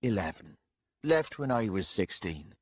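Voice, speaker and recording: A man voicing an old, bent castellan who speaks low, steady and patient. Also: measured pace, husky, no echo, clean studio sound, full character voice. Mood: quiet.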